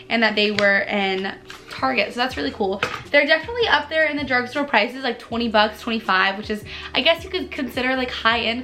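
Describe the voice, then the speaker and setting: A young woman vlogger chatting bubbly and casually through a makeup tutorial, her voice a little hoarse from allergies, with lots of "like" fillers. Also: a little high, sharp tone. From United States. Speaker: beauty vlogger.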